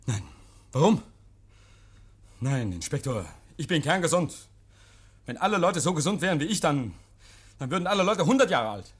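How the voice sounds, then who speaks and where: A man's voice: a little high, very animated, normal speed, long pauses, breathy edge. An actor in a 1950s radio play playing a nervous, paranoid man hiding guilt, agitated and stammering. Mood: defensive, irritated. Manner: agitated, stammering, insistent, hears imaginary noises. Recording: vintage 1950s radio recording.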